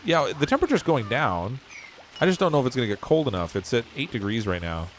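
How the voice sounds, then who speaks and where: A man's voice: mid-range voice, big pitch swings, fast, slight background noise. A man streaming a game, rambling as he thinks aloud and chuckling often, his voice wearing out. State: losing his voice. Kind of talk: casual game livestream commentary.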